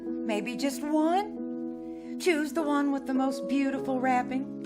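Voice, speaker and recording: Woman acting protective female caretaker, high voice, earnest, emphatic old-radio drama delivery, music behind. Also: slow. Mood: gently coaxing. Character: protective, anguished woman caring for a grieving little girl. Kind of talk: live re-creation of old-time radio drama.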